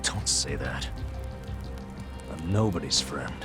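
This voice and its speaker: An actor playing a bitter, world-weary, guilt-ridden man who is gruffly protective, in a blunt, cynical video game performance. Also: a bass voice, animated, takes it slowly, over music, exaggerated voice. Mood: dismissive. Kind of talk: dramatic video game voice acting.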